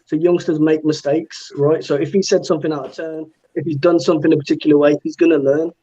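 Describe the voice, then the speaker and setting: Thirties male fan guest, blunt rambler, raised pitch, lo-fi sound. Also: flat delivery, fast, mellow, husky. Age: 30s. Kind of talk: casual football chat.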